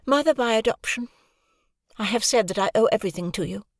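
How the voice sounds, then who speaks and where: A woman voicing a proud, composed young woman who speaks in a measured, cool way, in an audiobook reading. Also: a little high, expressive, dry recording, clean studio sound, pitched above the performer's own voice. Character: cold proud young woman, calm and reasoning.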